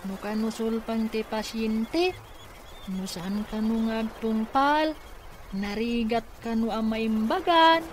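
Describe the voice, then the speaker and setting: Slow, innocent Ilocano child voiced by a woman. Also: on the high side, fairly even intonation, slight background noise. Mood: innocent, admiring. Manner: innocent, candid. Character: innocent well-behaved young child of the therapist. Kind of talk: Ilocano radio drama acting.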